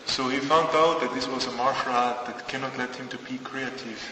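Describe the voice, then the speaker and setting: A man interpreting an interview into English, phrasing things haltingly and imperfectly. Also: mid-range voice, fairly even intonation, bright, forceful tone. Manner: halting, non-native phrasing. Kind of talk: consecutive interpreting. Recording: distant echoey hall with clear noise.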